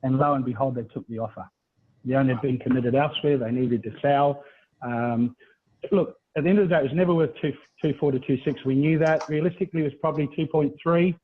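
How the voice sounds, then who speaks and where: Middle-aged Australian male presenter, husky, conversational sales delivery on a thin phone-like call. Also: mid-range voice, fast talker. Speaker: property buyers agent presenting. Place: indoors on a video call. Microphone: video call.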